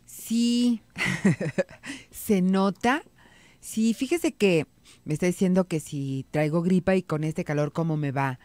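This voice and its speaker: A middle-aged Mexican woman hosting an advice radio show from a studio, calm and reassuring, with a head cold. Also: on the low side, slow, dry recording. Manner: calm, reassuring, reads listener messages aloud. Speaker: radio psychologist host. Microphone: studio mic on boom arm.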